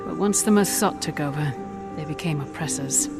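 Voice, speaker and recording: A woman voicing a serious, principled commander in a video game, earnest and measured. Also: deep voice. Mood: firm, cynical. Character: serious, principled commander hero, firm but compassionate. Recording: game music underneath.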